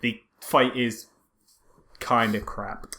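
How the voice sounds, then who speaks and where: A man co-hosting a casual film commentary podcast, speaking haltingly and repeating words as he searches for phrasing. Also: medium pitch, little pitch movement, sharp tone. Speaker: podcast co-host.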